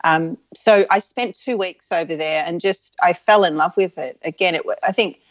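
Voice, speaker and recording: Chatty woman with soft Australian accent. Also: fairly low pitch, normal speed, dry recording, muffled recording. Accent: soft Australian with an English tinge. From Adelaide, Australia. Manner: chatty, frank, frequent ums and restarts. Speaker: interview guest. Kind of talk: casual reminiscing interview.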